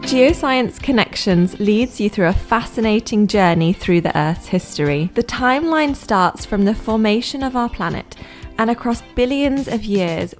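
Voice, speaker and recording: Brief: female narrator, scripted voice-over, measured and even, mid pitch, faint music bed.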